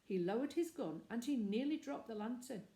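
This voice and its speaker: A woman's voice: on the high side, expressive, normal speed, dark tone. A woman reading a children's story aloud as scripted narration. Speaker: storybook reader. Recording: echoey, reverberant room.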